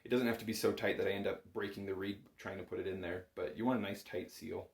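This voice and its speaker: A man with a fairly low voice gives an informal lesson. He explains things step by step in a reassuring, conversational way. The sound is noticeably roomy, as if recorded in a small room at home. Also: fairly even intonation, fast, vocal fry. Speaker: bagpipe instructor. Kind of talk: casual instructional talk.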